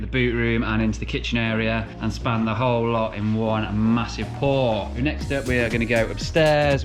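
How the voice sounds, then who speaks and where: A builder narrates his own site in an upbeat, step-by-step way. His voice sits at a middling pitch and an everyday pace. A clear music bed plays beneath him. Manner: upbeat, explains work step by step. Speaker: builder presenting a build vlog. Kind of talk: casual on-site commentary.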